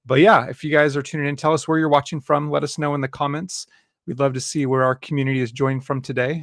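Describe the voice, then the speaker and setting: A man's voice: mid-range voice, dry recording, studio quality. Upbeat man hosting a webinar. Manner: upbeat, fillers. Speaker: host. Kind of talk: webinar interview. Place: Dallas, Texas.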